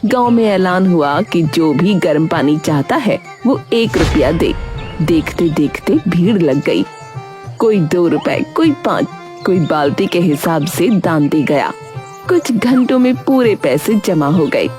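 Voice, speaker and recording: Storybook narrator, woman, slightly low register, animated melody, music bed underneath. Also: measured pace. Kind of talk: scripted storybook narration for a cartoon. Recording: music behind the voice.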